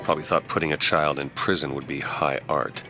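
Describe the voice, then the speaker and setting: An actor with an American accent playing a gruff, world-weary private detective in a noir video game, terse and wary. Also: male voice, rumbling low, fairly even intonation, a little fast. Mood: weary, bitter. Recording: music behind the dialogue.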